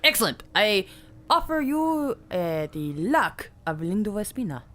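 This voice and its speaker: A woman's voice: mid-range voice, sing-song, a little slow, a bit bright. A woman playing a tabletop role-playing game, chatting casually and playfully, often repeating short phrases.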